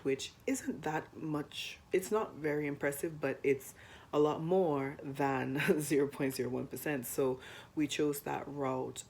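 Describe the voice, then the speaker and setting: A young woman co-hosting a personal finance chat to camera, hoarse while getting over the flu, chatty with frequent ums. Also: low voice, a little mellow, a little hiss or hum. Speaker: co-host of a personal finance channel.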